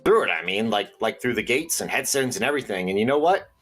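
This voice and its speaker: Man playing a husky, folksy late-night radio host. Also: fairly low pitch, animated, fast, fairly bright tone, faint music behind. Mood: rambling. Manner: theatrical, rambling, folksy. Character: eccentric, conspiracy-minded male late-night radio host, folksy and rambling. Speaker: radio call-in show host. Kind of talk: audio drama radio show patter.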